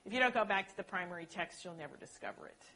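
A woman lecturer giving a talk and answering questions, animated, often checking in with the audience by asking "okay?". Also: fairly low pitch, very fast. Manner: animated, peppers points with "okay?" tags.

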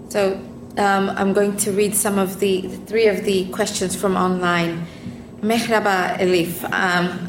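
A woman moderating a talk and politely reading out audience questions. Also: medium pitch. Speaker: event moderator. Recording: roomy, noisy hall sound.

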